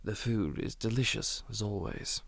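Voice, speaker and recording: A man playing a menacing aristocratic Duke in an ASMR audio drama, courteous and quietly threatening, often whispering. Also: lowish voice, moderate pace, gravelly, no echo. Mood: courtly. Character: menacing aristocratic male Duke, manor lord.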